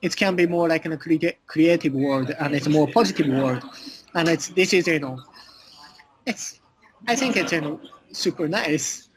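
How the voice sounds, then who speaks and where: A man with a Japanese accent answering as an interview guest, speaking halting non-native English full of filler phrases. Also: a little high, poor audio. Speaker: interview guest, art collective communications director.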